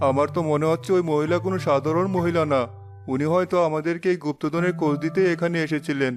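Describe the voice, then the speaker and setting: An actor voices a poor village father in a cartoon tale. His voice is notably high for a man, and he talks in an earnest, excitable, kindly way. Soft music plays behind him. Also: quick pace, natural voice. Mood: earnest, humbled. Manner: exclamatory, earnest. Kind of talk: cartoon story acting. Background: faint music.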